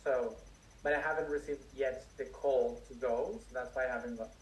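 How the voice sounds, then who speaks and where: Man speaking slowly from afar, echoey. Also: medium pitch, fairly even intonation, a little hiss or hum. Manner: slow, searching speech with many restarts and fillers. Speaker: spiritual teacher answering questions. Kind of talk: live Q&A, unscripted. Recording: echoey room, distant mic, faint crickets.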